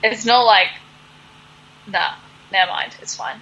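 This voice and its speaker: A woman's voice: mid-range voice, lively intonation, a little slow, vocal fry, pushed and bright, husky, muffled recording. A woman co-hosting a podcast in casual chat, with an informal, sardonic way of talking. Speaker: podcast co-host.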